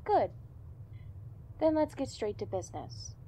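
A woman playing a capable vigilante teammate in an audio drama, brisk and teasing. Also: sits high, very animated, warm tone, slight background noise. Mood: businesslike. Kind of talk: naturalistic audio drama acting.

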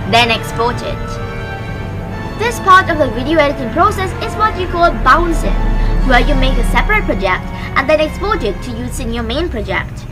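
A woman's voice: high voice, poor audio. A young woman hosting a tutorial, giving scripted step-by-step instructions into a close microphone. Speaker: tutorial host. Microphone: close microphone on a stand. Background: background music.

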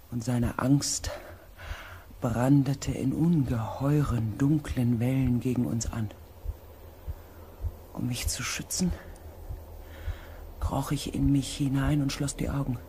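A man playing a shaken, earnest expedition pilot in a science fiction radio play, with weighty, hushed dramatic delivery. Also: medium pitch, fairly even intonation, takes it slowly, pauses often, slight background noise, lightly acted. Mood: hushed, tense.

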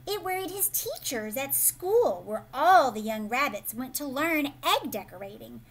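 An American woman in her thirties reads a picture book aloud to children expressively, pausing to ask the listener questions. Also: high voice. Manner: animated, prompting listeners with questions. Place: living room at home, indoors.

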